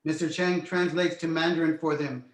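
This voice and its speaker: Casting: male narrator for screenplay directions, slightly high voice, roomy echoing sound. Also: level tone, moderate pace. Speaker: narrator reading stage directions. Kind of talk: screenplay table read narration, present tense.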